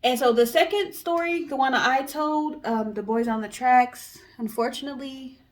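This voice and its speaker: A woman's voice: high-pitched, moderate pace. A woman co-hosting a storytelling guessing show, telling stories in a casual, conversational way.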